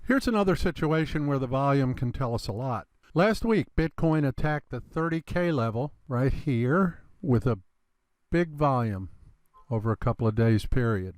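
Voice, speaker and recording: A man with a slightly congested, gravelly voice, explaining a trading chart in a relaxed lesson and calling his viewers "you guys". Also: mid-range voice, moderate pace, no echo. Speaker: trading educator. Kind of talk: screen-recorded trading lesson.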